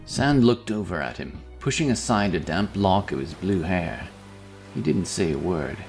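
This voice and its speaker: A man's voice: deep voice, sing-song, normal speed, creaky, darkish tone. A man reading scripted narration for a fantasy audio story. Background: background music.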